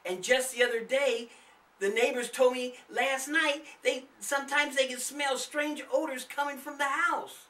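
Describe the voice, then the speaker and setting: A man's voice: very high-pitched, fairly even intonation, measured pace, pushed and bright, echoey room, far from the mic, pitched above the performer's own voice, heavily acted. A man voicing a nervous, reluctant boy who is wary in a spooky story. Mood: spooked.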